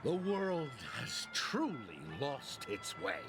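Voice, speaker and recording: An actor playing a grumpy, cynical old man in a video game, with a gravelly, scornful way of talking. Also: a little high, huge pitch swings, takes it slowly, full character voice. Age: older. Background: game music.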